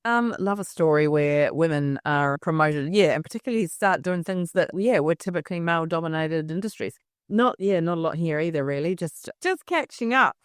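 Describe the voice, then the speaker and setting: A woman with a softened New Zealand accent co-hosting a travel podcast. Her voice sits fairly low and she talks in a relaxed, chatty way with easy fillers. The recording is dry and close. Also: a little fast, smooth, no room sound. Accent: New Zealand, softened by years in Australia. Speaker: podcast co-host. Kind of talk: casual chat.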